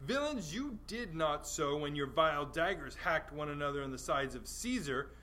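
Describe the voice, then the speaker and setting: A scornful Roman general, performed by a man in a somewhat high male voice. He is theatrical and taunting, delivering Shakespearean verse over faint background noise. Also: lively intonation, normal speed, bright, forceful tone. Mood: scornful, accusing. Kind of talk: amateur dramatic Shakespeare reading.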